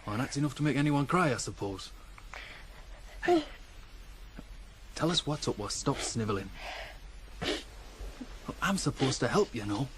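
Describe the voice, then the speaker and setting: A man's voice: medium pitch, big pitch swings, unhurried, long pauses. An actor playing a teasing, blunt but fatherly nineteen-year-old farm lad in a radio drama. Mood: blunt, consoling. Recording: 1980s radio play, faint noise.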